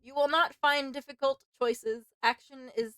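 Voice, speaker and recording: A woman game streamer doing a casual, expressive let's play, reacting and reading on-screen text aloud. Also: high voice, moderate pace, no echo. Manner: expressive, reactive, mild exclamations. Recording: loud rain and game ambience behind. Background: loud rain and game ambience.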